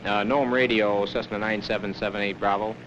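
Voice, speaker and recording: Low-voiced male pilot on crackly radio. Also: steady tone. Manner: halting, procedural. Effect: two-way radio. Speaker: small-plane pilot on radio. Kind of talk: aviation radio calls. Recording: dull, noisy 1960 aircraft radio sound.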